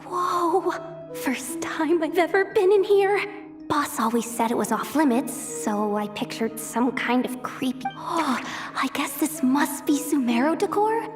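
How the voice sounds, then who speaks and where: A carefree young female sidekick with a high, lively voice. She is bubbly and breezy in an anime game way, with clear music behind her. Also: a little slow. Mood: curious, excited. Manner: excitable, breezy.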